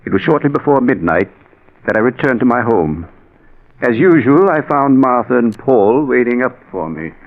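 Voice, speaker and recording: A man's voice: medium pitch, expressive, moderate pace, lightly acted. An actor playing an earnest dead man's spirit, narrating solemnly and theatrically in 1940s radio style. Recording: thin, phone-like old radio recording.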